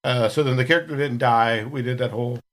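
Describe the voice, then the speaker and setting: Regular guy voice, middle pitch, swapping stories on a podcast, relaxed chat format. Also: fast talker. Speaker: podcast cast member. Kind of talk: casual chat, telling anecdotes.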